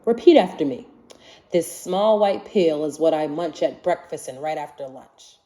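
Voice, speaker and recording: Female storybook reader, soft-edged mellow voice, highly varied intonation, expressive singsong delivery of rhyming verse for kids. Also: normal speed. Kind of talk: children's picture book read-aloud in rhyme.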